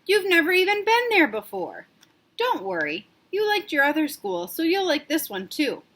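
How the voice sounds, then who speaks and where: A woman's voice: extremely high pitch, wildly swooping pitch, normal speed, a bit bright, voice pushed up, exaggerated voice. A woman voicing a coaxing adult in a storybook read-aloud, patient at first and then firm. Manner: soothing, then firm and exasperated.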